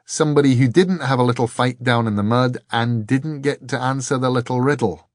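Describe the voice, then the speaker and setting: A man's voice: mid-range voice, brisk, dry recording, clean studio sound, pitched above the performer's own voice, lightly acted. A man voicing a nervous, indignant ordinary man in an audiobook, babbling with hesitations. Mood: flustered.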